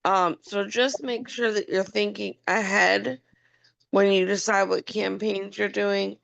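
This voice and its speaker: A hoarse woman on a group call casually giving planning advice, chatty with many ums. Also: medium pitch, fairly even intonation, a little slow, fairly bright tone, dry recording, lo-fi sound. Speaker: call participant.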